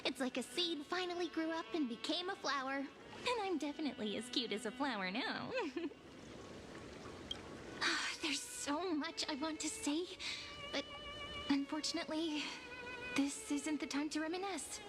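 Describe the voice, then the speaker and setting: High, sweet playful girl. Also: measured pace, long pauses. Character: cute playful girl reborn from memories, gentle and nostalgic. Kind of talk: video game character dialogue. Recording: prominent music under the voice.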